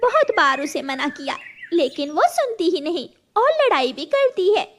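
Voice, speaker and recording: Woman playing an earnest, high-voiced mother sparrow. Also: big pitch swings, moderate pace, a little hiss or hum. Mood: pleading complaint. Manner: earnest, complaining. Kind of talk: children's cartoon story dub.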